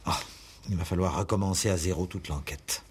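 A baffled judge in an old 60s french radio play, really low rough voice, hesitant and musing. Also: male voice, a little fast. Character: troubled, puzzled investigating judge. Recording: old radio drama recording.

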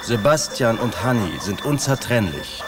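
A man's voice: mid-range voice, expressive, a little slow, crowd noise, natural voice. An actor playing a suspicious, curt and intimidating male gang leader in a radio drama. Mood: suspicious, threatening. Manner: terse, challenging. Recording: very noisy ambience, clicks, off-mic.

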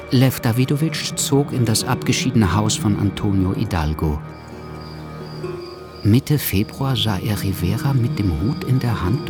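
A man giving scripted, literary narration in a radio drama. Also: on the low side.

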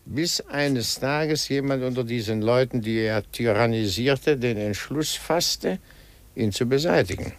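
An older male actor playing a methodical retired police inspector in a 1950s German radio drama, gravelly and emphatic, with dry amusement. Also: medium pitch, measured pace, lightly acted.

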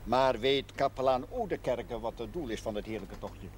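Animated, dryly witty actor as village schoolmaster. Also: male voice, mid-range voice, moderate pace, natural voice. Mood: teasing. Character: learned, genial village schoolmaster, gently teasing. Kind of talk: television period drama acting. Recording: noisy old TV soundtrack.

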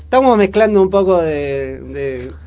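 A man's voice: on the high side, expressive, unhurried, a little mellow. A man with a Buenos Aires accent chatting casually about current affairs as a radio host, informal and full of questions. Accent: Buenos Aires Argentine.

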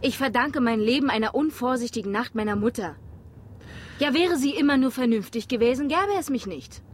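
A woman's voice: on the high side, animated, a little fast, a little hiss or hum, close to an everyday voice. An actress playing a headstrong, teasing young woman in a naturalistic radio drama. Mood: teasing, defiant. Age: young adult.

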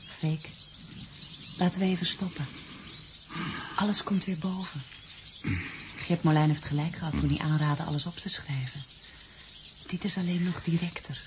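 A woman's voice: sits low, steady tone, moderate pace, long pauses, noisy background, close to an everyday voice. An actress playing an anxious, devoted young woman in love in a radio drama. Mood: earnest.

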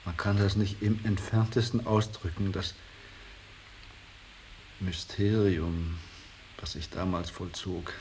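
A low-voiced embittered, idealistic painter in a radio play. He speaks very slowly, with solemn, rhapsodic fervour that can rise from a hush to an outburst. Faint tape hiss runs under the studio recording. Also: steady tone. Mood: reverent, nostalgic. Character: Lachmann, embittered, idealistic male painter revering his old master. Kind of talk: 1980s German radio drama acting.

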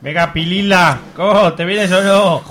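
Radio soap: male actor as young Aragonese wise guy, crude and teasing, slangy, slightly high voice. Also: measured pace, fairly bright tone, faint music behind. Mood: goading. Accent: Aragonese Spanish. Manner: mocking, slangy. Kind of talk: amateur radio soap acting.

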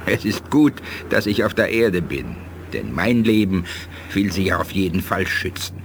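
An actor in a 1980s German radio play playing a dignified, ailing male alien who speaks in a measured, formal and assured way. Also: deep voice, lively intonation, noisy background. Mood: calm, courteous.

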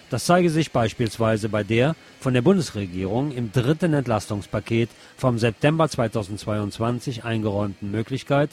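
A man's voice: medium pitch, darkish tone. A man presenting radio news, reading from a script in German news style.

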